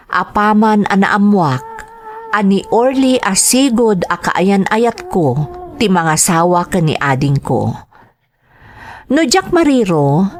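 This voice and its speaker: Low-voiced Ilocano woman telling her story. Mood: pained. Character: Edna telling her life story, earnest, long-suffering overseas worker. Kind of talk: confessional radio drama narration.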